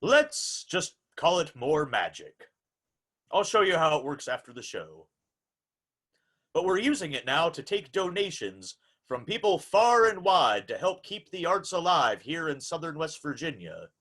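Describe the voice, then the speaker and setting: A man's voice: fairly high pitch, big pitch swings, unhurried, fairly bright tone, exaggerated voice. A man playing a formal, polite old-time radio hour host, announcing in a theatrical, scripted showman's style. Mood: genial, showy.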